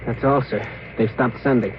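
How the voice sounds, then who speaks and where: An actor playing an earnest, anxious communications officer, deferential but eager, in American 1950s radio drama style. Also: male voice, mid-range voice, fairly even intonation, moderate pace, close to an everyday voice. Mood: formal. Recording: muffled, noisy old-time radio recording.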